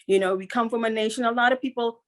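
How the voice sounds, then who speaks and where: A middle-aged woman from Guyana talks as a guest on an interview podcast. Her voice sits a little high. She is earnest and upbeat and often repeats a phrase to drive it home. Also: steady tone, fast. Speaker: guest, business consultant.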